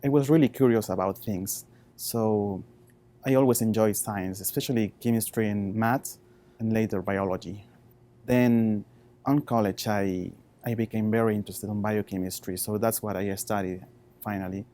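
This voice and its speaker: A man in his thirties with a Chilean Spanish accent, a researcher talking informally about his work in halting non-native English. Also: lowish voice, fairly even intonation, mellow. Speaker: postdoctoral researcher.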